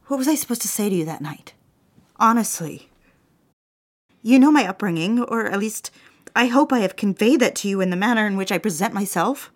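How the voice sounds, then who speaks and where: A woman's voice: medium pitch, animated, fairly quick, no echo, lightly acted. An actress playing a proper, wounded young secretary, speaking earnestly and primly in an audio drama and confiding her story. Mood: hurt, pleading. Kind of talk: dramatic scripted narration and dialogue.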